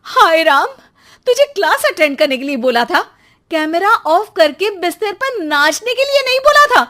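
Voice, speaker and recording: A woman playing an exasperated, quick-tempered mother who scolds with sarcasm in a cartoon story. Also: very high voice, sing-song, bright, forceful tone, pitched above the performer's own voice, heavily acted. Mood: scolding. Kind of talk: Hindi cartoon story dialogue.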